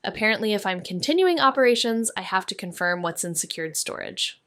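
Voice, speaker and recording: A woman's voice: mid-range voice, lively intonation, brisk, fairly bright tone, no room sound, close to an everyday voice. Actress doing a young woman sleuth in an audio drama, wry n guarded but sincere, understated, very dry sound. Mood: dry, practical. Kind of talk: naturalistic audio drama dialogue.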